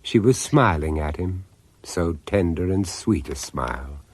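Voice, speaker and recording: A man narrating a radio horror drama as an urbane storyteller, deliberate and theatrical, pausing to savour each phrase. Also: low-pitched, lively intonation, a little mellow, slightly rough, no echo, exaggerated voice. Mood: ominous, savouring.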